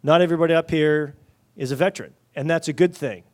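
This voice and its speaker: A man's voice: fairly high pitch, quick pace, darkish tone. A man moderating a conference panel, talking loosely with many fillers and some military turns of phrase. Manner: loose, filler-heavy delivery with military metaphors. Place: conference panel in front of an audience.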